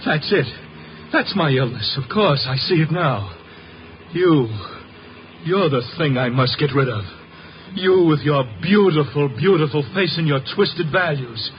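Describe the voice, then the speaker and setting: A man's voice: a little high, lively intonation, unhurried. A man acting an unstable, lovesick young man in a 1950s radio drama, melodramatic and intense, repeating his phrases. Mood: bitter, paranoid. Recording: muffled, noisy old radio recording.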